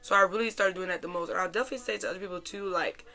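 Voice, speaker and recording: A young woman hosting a casual, chatty life-update podcast in an informal, slangy way, her voice a little raspy and hoarse. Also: lowish voice, racing, sharp tone. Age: young adult. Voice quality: slightly raspy, worn voice. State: hoarse after a weekend out. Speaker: podcast host.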